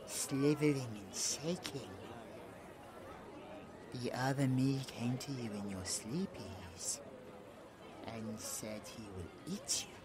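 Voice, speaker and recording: A man's voice: mid-range voice, background noise. A British man voicing a childlike, innocent yet eerie possessed young man who speaks of himself in the third person with baby talk, in an audio roleplay. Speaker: voice actor.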